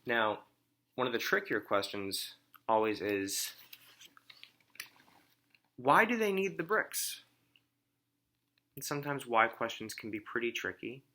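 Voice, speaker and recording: A man reading a story aloud as a teacher, phrasing it deliberately and simply for children and pausing to ask comprehension questions. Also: mid-range voice, very animated, pushed and bright.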